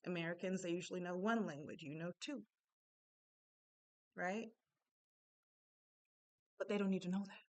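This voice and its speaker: Animated woman with a slight Panamanian accent. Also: fairly low pitch, normal speed, pauses often. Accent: slight Panamanian Spanish. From born in Japan, Panamanian family. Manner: animated, conversational, frequent "right?" asides. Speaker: self-help speaker. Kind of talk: casual storytelling monologue.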